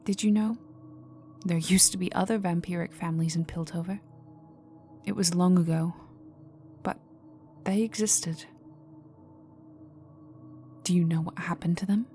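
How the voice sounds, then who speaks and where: A woman acts out an icy vampire noblewoman bent on revenge. Her voice sits fairly low and moves very slowly, imperious and threatening, often slipping into a whisper. It is a dark, intimate ASMR roleplay with music underneath. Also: steady tone, natural voice. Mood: menacing. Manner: slow, menacing, imperious, formal, often dropping to a whisper. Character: cold vengeful aristocratic female vampire, young heiress in mourning.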